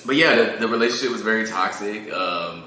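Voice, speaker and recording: A man with a low-pitched, very bright, forceful voice talks casually in a strongly echoing room. He is candid and slangy and laughs easily, with a faint noise behind him. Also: brisk. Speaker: singer-songwriter, interview guest. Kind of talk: casual chat interview.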